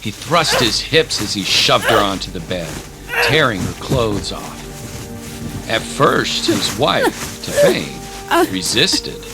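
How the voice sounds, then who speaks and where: A male storyteller with a lowish voice and lively, swooping intonation. He delivers scripted drama slowly over loud music. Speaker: narrator.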